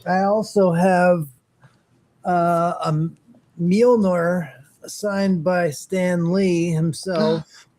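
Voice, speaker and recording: A man's voice: high-pitched, fairly even intonation, extremely slow, darkish tone. A middle-aged man in casual geeky chat, enthusiastic, rambling and self-deprecating as he co-hosts a show. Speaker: co-host of a morning show.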